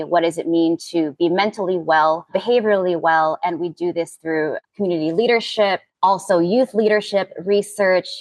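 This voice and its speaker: A woman's voice: on the low side, no room sound. A woman from the Philippines whose first language is Tagalog, giving a friendly, conversational self-introduction on a virtual panel. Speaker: executive director, panelist.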